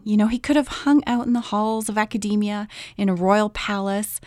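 A woman's voice: mid-range voice, dry recording, studio quality. A middle-aged woman co-hosting a Bible program, affirming and teasing her partner and reading scripture aloud. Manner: affirming, teasing, frequent agreeing backchannels. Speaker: radio Bible show co-host. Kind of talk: conversational Bible discussion.

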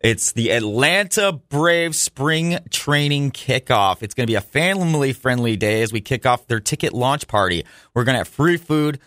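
A man's voice: mid-range voice, lively intonation, normal speed, few pauses, a bit bright, no echo. An American man talks sports as a radio host, in a dry studio sound with a mid-range voice. His delivery is casual and chatty, and he gives his opinions freely, like a fan behind the microphone.

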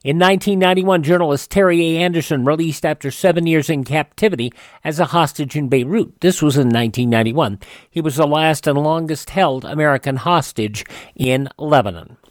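A man's voice: fairly high pitch, a little fast, dry recording, clean studio sound. A man hosting a radio show, reading almanac items in an announcer-like way that leans on the names. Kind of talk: scripted radio almanac narration.

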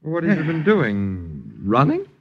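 A man's voice: fairly high pitch, wildly swooping pitch, slow pace, breathy edge, muffled recording, exaggerated voice. An American man acting a sardonic, wordy boarder in a 1950s radio drama, with a theatrical, mocking manner. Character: sardonic, wordy male boarder mocking his miserly landlords. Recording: 1950s radio broadcast recording.

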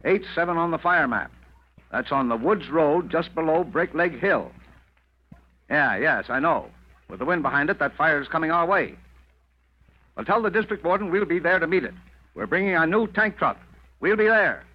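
Decisive, folksy volunteer brigade chief, slightly high voice, dull vintage radio serial sound. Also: male voice, lively intonation, moderate pace, pauses often. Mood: grave, resolute. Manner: plain-spoken, folksy, decisive. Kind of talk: 1940s radio drama acting. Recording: muffled old radio recording.

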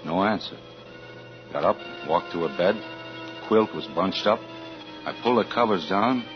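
An actor playing a troubled married insurance investigator, confessing haltingly in 1940s radio suspense style. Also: male voice, deep voice, animated. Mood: uneasy, confessional. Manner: halting, hesitant confessional narration. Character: troubled married insurance investigator recounting his story, anxious. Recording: muffled vintage radio recording with music.

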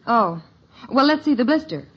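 A sensible suburban mom in an old radio comedy, exasperated with the children. The voice is fairly high and husky, with lively pitch, on a muffled vintage recording. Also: female voice, moderate pace. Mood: exasperated patience. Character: exasperated, sensible suburban mother, middle-aged. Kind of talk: 1950s radio sitcom acting. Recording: dull vintage radio broadcast.

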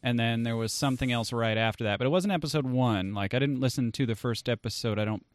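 A man's voice: mid-range voice, fast, no room sound. Casual, blunt man hosting, dry close sound. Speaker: podcast host. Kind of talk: casual interview chat.

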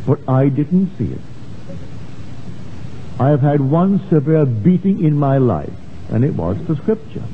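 Tinny recording of an animated male lecturer. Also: a little high. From Barbados. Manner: emphatic storytelling with drawn-out quotations. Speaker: spiritual lecturer. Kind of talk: sermon-like lecture with personal anecdotes. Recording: tinny, band-limited, noisy old lecture recording.

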